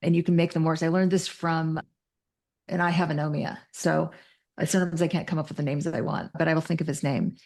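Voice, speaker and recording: A woman's voice: low-pitched, brisk, gravelly, a little mellow. A woman speaking as an expert interview guest, conversational but precise and technical, often correcting and qualifying what she says. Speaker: interview guest, mental health clinician.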